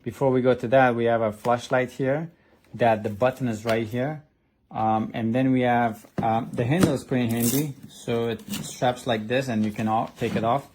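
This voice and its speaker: A man's voice: medium pitch, fairly even intonation, moderate pace, a little mellow, slight background noise. A man reviewing a product in a casual, hands-on way, explaining step by step with some hesitation. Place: indoors at a desk.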